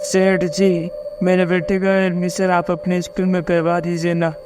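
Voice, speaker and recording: A man's voice: high-pitched, completely flat delivery, a little slow, background noise. A man voicing a humble poor father, deferential and beseeching, in a cartoon story. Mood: pleading.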